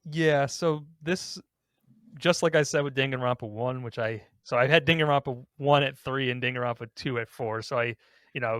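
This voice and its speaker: A man's voice: mid-range voice, a bit bright, no room sound, studio quality. A man hosting a casual group discussion, talking in a rambling, self-correcting way. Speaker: podcast host.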